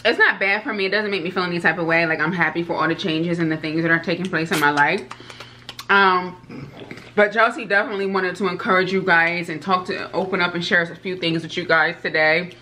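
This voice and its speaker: A woman's voice: on the low side, pushed and bright. A woman in her thirties hosting a casual eating show, chatting while she eats at a table. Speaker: host. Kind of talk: casual mukbang chat. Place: indoor studio set at a table.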